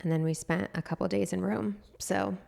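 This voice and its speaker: Brief: slightly hoarse woman in her thirties, podcast co-host, relaxed chatty delivery, laughs easily, lowish voice. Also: moderate pace. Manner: chatty, laughs often, lots of "like". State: slightly hoarse, froggy throat. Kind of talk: casual chat.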